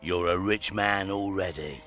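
Low-voiced boastful fight promoter. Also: male voice, unhurried, a bit bright, soft background music. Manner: grandiose, speaks of himself in third person.

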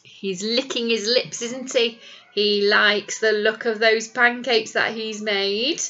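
A middle-aged woman, a primary teacher reading a picture book to young children in an animated, explanatory way with questions to them. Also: fairly high pitch, takes it slowly, muffled recording. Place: small indoor classroom. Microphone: webcam or device at arm's length.

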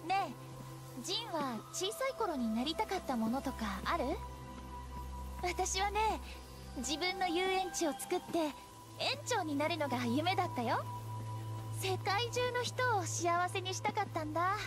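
A cheerful young martial-arts girl from a video game. Her voice is very high, soft and earnest, with a girlish sweetness. Music plays clearly behind her. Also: animated, unhurried. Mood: bright, dreamy. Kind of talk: Japanese video game cutscene acting.

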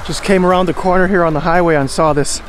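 A man's voice: high voice, fairly even intonation, quick pace, faint music behind. A middle-aged man, chatting casually as the host of a travel vlog. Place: living room, inside a moving car, and outdoors by roads and shops.

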